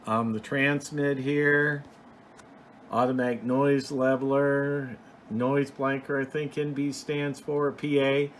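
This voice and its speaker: A man's voice: mid-range voice, little pitch movement, slow pace. A man giving a casual, unscripted show-and-tell as a hobbyist host, explaining things in a rambling, plain-spoken way. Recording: clear background noise.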